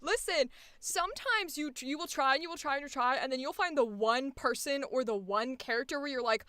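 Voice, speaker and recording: Casting: high, bright woman voice actor, animated and profane chat, dry close sound. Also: brisk, dry recording. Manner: animated, swears a lot, laughs often. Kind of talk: casual chat.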